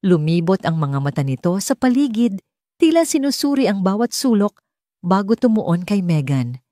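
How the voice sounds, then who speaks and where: A woman's voice: mid-range voice, sing-song, normal speed, mellow, no room sound, clean studio sound. A woman reading a scripted romance story as an audiobook narrator.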